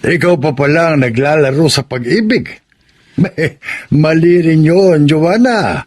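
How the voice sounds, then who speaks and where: Elderly grandpa, radio drama: slightly high voice, patient, soothing, mildly scolding. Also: male voice, takes it slowly. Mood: gentle admonishing. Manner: soothing, admonishing. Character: gentle old grandfather, patient peacemaker of the family. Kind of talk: Tagalog radio drama acting.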